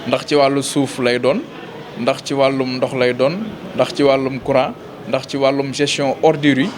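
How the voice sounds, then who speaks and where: A man with a Senegalese accent explains things in an interview as a local official, pausing mid-phrase and repeating words as he goes. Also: mid-range voice, little pitch movement, background noise.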